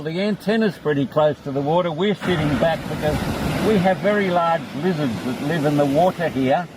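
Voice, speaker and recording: Noisy shortwave radio, Australian man, fairly high voice, relaxed, matter-of-fact, casual operator chat.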